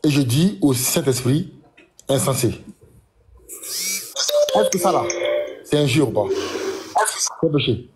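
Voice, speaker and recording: A man's voice: mid-range voice, sing-song. Hesitant man over a noisy, clicking line. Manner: halting, hesitant restarts.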